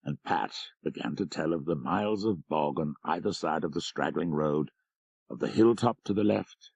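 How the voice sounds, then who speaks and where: Low, gravelly male audiobook narrator. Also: normal speed, darkish tone, dry recording, muffled recording. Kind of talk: literary scripted narration.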